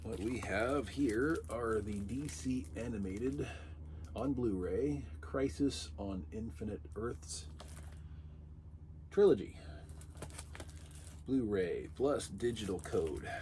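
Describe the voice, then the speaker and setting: A man hosting a casual unboxing video, sounding raspy and congested because he is under the weather, and chatting in a rambling way with dry asides. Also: lowish voice, animated, drawn-out words, long pauses, dark tone, slight background noise. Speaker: vlog host.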